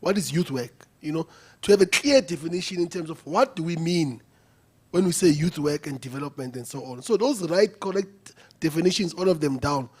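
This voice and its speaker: A man's voice: on the high side, lively intonation, a little fast, darkish tone, husky. A man giving a hesitant floor comment at a youth conference. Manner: hesitant, many fillers. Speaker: conference delegate. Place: conference venue.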